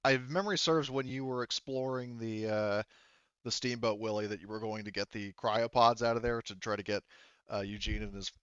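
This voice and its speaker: A man narrating a tabletop game as game master, conversational and halting, pausing to rephrase as he goes. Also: lowish voice, steady tone, fairly bright tone, clear, resonant tone, dry recording, dull audio.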